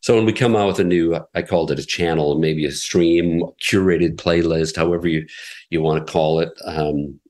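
A middle-aged man, a radio executive, answering interview questions in a relaxed, explanatory way, often repeating words as he thinks. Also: low voice, normal speed. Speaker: radio station president, guest.

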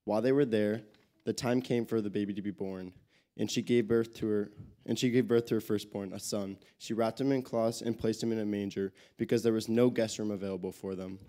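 A young man reading scripture aloud in church into a handheld microphone, a little haltingly. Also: lowish voice, fairly even intonation, dark tone. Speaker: scripture reader. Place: church sanctuary stage.

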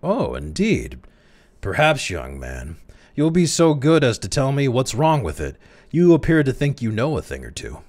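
A man's voice: rumbling low, steady tone, takes it slowly, hardly any pauses, pitched below the performer's own voice, heavily acted. A man voicing an irate old male company chairman who snaps and explodes in bursts. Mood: ironic. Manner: snapping, explosive outbursts.

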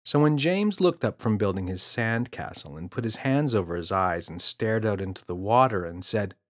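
A man's voice: lowish voice, expressive, moderate pace. A man reading a scripted children's story as an audiobook narrator.

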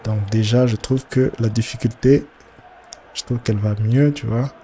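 A man with a head cold giving casual, halting commentary as he streams a football video game, often repeating his words as he thinks aloud. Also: mid-range voice, steady tone, warm tone, faint music behind. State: congested with a cold. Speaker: gaming streamer.

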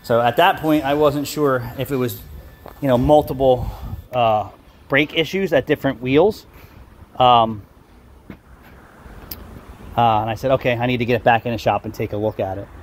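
A man's voice: mid-range voice, moderate pace, long pauses. Fed-up man in his fifties, blunt rant, phone at arm's length, outdoors, faint noise behind. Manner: angry, blunt, swears and rambles. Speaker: car repair shop owner. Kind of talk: ranting vlog to camera. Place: outdoors beside a building. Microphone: phone held at arm's length.

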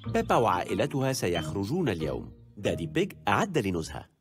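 A man narrating a dubbed children's cartoon in a bright storytelling manner. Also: lowish voice, wildly swooping pitch, fast, over loud music.

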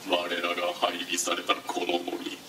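A man's voice: low voice, completely flat delivery, a little slow. A man voicing a solemn, pompous machine lifeform with grandiose archaic speech, founding a kingdom in a game. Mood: resolute, solemn. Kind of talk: Japanese game voice acting. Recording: distant, echoing, noisy ambience.

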